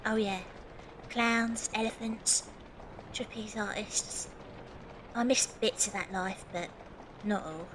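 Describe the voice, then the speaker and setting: A woman's voice: medium pitch, steady tone, takes it slowly, long pauses, noisy background, close to an everyday voice. A woman playing a defiant young Victorian match girl who used to be in the circus, plain-spoken, in an audio drama. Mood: wistful. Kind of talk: fan audio drama acting and first-person narration. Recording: music behind the voice.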